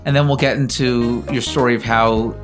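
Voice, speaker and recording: A man's voice: medium pitch, steady tone, moderate pace, soft background music. Upbeat podcast host, a man. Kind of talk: podcast interview.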